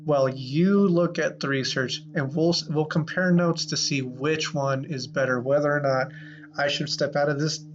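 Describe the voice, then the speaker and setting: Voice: mid-range voice, fairly even intonation, moderate pace, lo-fi sound. A podcast host improvising a comedy audio adventure in casual banter, with a rambling, playful way of talking. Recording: noisy background.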